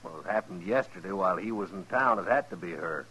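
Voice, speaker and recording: Husky, forceful, hard-bitten cattleman. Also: sits low, animated, fast talker, exaggerated voice. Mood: angry, demanding. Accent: rural American West. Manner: blunt, demanding. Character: hard, vengeful cattle rancher, contemptuous of farmers. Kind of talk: 1950s radio western acting. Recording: dull old radio recording.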